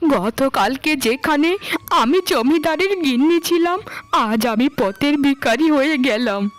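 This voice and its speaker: A woman's voice: high-pitched, lively intonation, measured pace. A woman voicing a landlord's ageing wife in a cartoon, lamenting melodramatically as a mother fallen on hard times. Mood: bitter lament. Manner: melodramatic, wailing, stammering when shocked. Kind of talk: Bengali cartoon melodrama acting.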